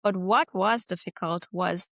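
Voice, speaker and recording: A woman's voice: lowish voice, a little slow, a bit bright, no echo, clean studio sound. A young woman from South Africa with a South African accent, telling her story as a guest in a casual interview, chatty and full of fillers. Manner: chatty, laughs easily, many "like" fillers. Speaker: guest, English teacher.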